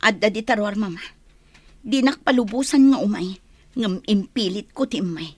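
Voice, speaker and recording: A woman's voice: mid-range voice, normal speed, no room sound, lightly acted. A woman playing an aggrieved, defiant young wife in a radio drama, pleading and reproachful, with an Ilocano accent.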